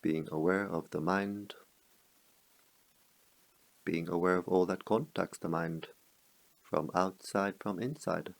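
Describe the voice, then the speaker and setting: Low, gravelly male meditation guide. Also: low-quality recording. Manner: calm, measured, with long pauses between short phrases. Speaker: meditation teacher.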